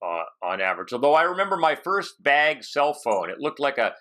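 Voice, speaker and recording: A man in his fifties hosting a casual technical interview on a headset from a home office, haltingly thinking aloud. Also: on the high side, big pitch swings, sharp tone. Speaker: podcast host. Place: home office with bookshelves, on a video call.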